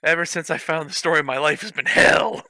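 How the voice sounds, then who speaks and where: Bright-voiced sarcastic man reading fanfic. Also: a little high, fairly even intonation, fairly quick, no room sound, clean studio sound. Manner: sarcastic, swears freely, laughs at the text. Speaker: host reading a fanfic aloud. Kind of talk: comedic reading with commentary.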